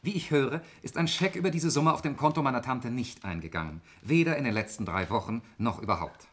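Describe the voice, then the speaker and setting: Man acting a level-headed accuser, lively intonation. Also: medium pitch, fairly quick, lightly acted. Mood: measured, accusing. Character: level-headed nephew, quietly accusing and probing. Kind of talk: 1960s German radio drama acting.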